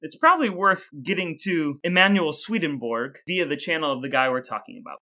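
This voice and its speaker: A man's voice: fairly high pitch, expressive, brisk, sharp tone. A man co-hosting a casual podcast with his brother, explaining a history topic at length and quizzing him along the way. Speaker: podcast co-host. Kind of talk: casual chat.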